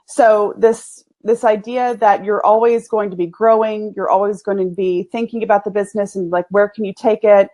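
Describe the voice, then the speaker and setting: A woman with a strong East Texas drawl talks openly in a relaxed interview. She sounds like someone in her forties, her voice sits in a middle register, and her manner is folksy and frank, with laughter never far away. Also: medium pitch, normal speed, hardly any pauses. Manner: folksy, candid, laughs easily. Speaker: agency owner, guest. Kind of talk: casual interview conversation.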